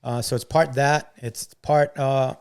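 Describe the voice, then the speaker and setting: A man's voice: mid-range voice, little pitch movement, measured pace, darkish tone, smooth. A man talking as a podcast guest, earnest and upbeat, repeating words and using many fillers. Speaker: guest, entrepreneur. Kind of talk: casual interview chat.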